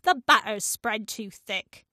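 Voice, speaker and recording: A woman's voice: medium pitch, takes it slowly, pushed and bright, dry recording, studio quality. A woman voicing a dour, greedy male carpenter in a storybook poem, with blunt, curt delivery. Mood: irritable. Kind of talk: storybook verse character voice.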